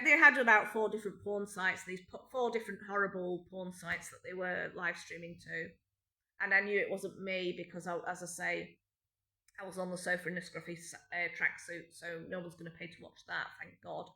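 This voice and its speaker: Bright, aggrieved British woman in echoey room. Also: on the low side, fairly even intonation, brisk. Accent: British English. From Britain. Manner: rambling, aggrieved, with frequent restarts and ums. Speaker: vlogger. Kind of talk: unscripted personal monologue. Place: home indoors.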